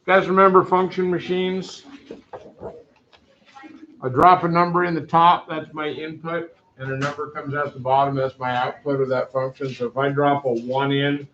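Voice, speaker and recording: A man teaching a math class, giving loud, direct, brisk instructions to his students. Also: mid-range voice, a little hiss or hum. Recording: echoey classroom, dull lo-fi sound.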